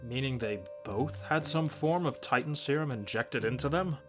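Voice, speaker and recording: A woman's voice: a bass voice, moderate pace. A woman voicing a skeptical, level-headed researcher in a fan audio drama, speaking in a dry, questioning manner. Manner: dry, questioning, matter-of-fact. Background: music score.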